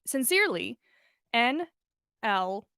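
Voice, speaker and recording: Storybook male herbologist read by a woman: earnest, wordy, courteous, high-pitched, close dry sound. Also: sing-song, slow pace, creaky, sharp tone, clean tone, no echo, full character voice. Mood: earnest, formal. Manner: formal, wordy, polite. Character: earnest, bookish, absent-minded adult male herbologist.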